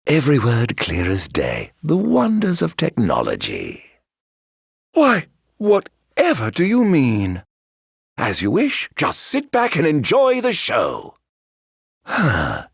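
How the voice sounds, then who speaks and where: Game villain: immortal fallen king, theatrical and vengeful, ornate speech, very raspy male voice, close dry sound. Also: mid-range voice, wildly swooping pitch, takes it slowly, dry recording, full character voice. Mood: mocking, playful. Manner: grandiloquent, theatrical, ornate diction. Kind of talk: dramatic video game voice acting.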